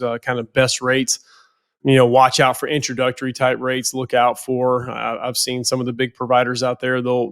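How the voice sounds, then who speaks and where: Man, nasal from a head cold, flat even delivery, halting with repeated words, podcast chat. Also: mid-range voice. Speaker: financial planner. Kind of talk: casual podcast talk.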